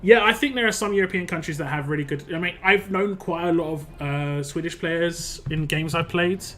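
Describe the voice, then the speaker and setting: A man's voice: on the high side, fairly bright tone. A young man with a West London accent, chatting casually and rambling with restarts while streaming a game. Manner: rambling, talks fast with frequent restarts and fillers. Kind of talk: casual live-stream chat while gaming.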